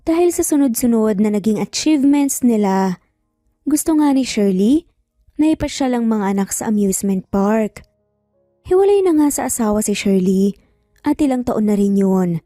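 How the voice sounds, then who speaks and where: A woman's voice: on the high side, mellow, clean studio sound. A woman narrating a scripted dramatic story as a storyteller. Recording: roomy echo, faint background music. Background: faint music.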